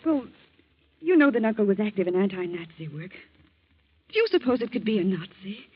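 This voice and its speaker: Radio drama role: distraught, suspicious young woman, husky edge, muffled vintage sound. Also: medium pitch, animated, brisk, no echo. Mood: puzzled, anxious. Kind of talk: old-time radio drama acting. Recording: muffled old radio recording.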